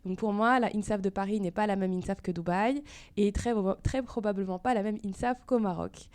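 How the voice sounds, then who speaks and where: A woman's voice: medium pitch, steady tone, fairly quick, a little mellow. A woman hosting an interview podcast, introducing the show and talking conversationally with her guest. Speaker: podcast host.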